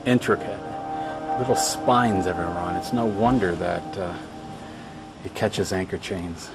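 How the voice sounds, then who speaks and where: A man's voice: sits low, normal speed, creaky, over loud music. A man giving casual, musing commentary for a travel vlog while out on a coastal track. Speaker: travel vlogger. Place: outdoors on a rocky coastal track. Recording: noisy outdoor audio, surf and wind-like ambience.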